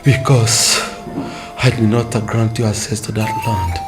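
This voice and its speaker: A man's voice: on the low side, fairly even intonation, unhurried, loud music behind the voice, natural voice. An actor playing a weary father who speaks solemnly of his coming death. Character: older dying father, solemn and resigned.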